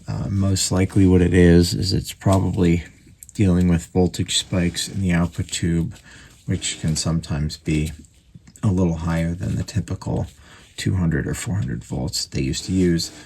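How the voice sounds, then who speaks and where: A man's voice: sits low, fairly even intonation, moderate pace, darkish tone, slight background noise. A man casually narrating a radio repair at his workbench, thinking aloud with fillers as he works.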